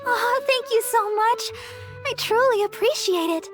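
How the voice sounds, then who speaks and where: A gentle young deaconess from a video game, with a very high, sweet voice. She speaks with polite, earnest care, a little unsure of herself. Music plays softly behind her. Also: unhurried. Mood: hesitant, grateful. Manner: soft, sweet, polite, hesitant. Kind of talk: English video game voice acting.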